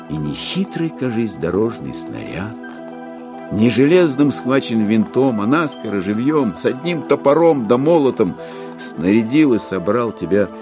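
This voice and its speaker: A man narrating a literary audio play in a theatrical, rhetorical and expressive manner. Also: lowish voice.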